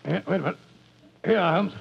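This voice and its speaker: An actor playing a bluff, hearty older army doctor with a gravelly, blustery manner, in 1940s radio acting on a lo-fi broadcast. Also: male voice, high voice, brisk, exaggerated voice. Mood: anxious.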